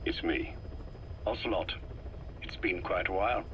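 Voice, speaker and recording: An actor playing a grave, shrewd intelligence operative in a video game, in a covert briefing. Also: male voice, deep voice, unhurried, very noisy. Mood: guarded briefing.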